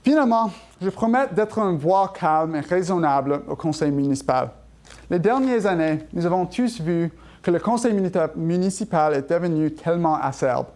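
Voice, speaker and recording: A man's voice: a little high, lively intonation, moderate pace, a little mellow. A man giving an earnest prepared campaign speech as a council candidate, stumbling over words and correcting himself in a language that seems second to him.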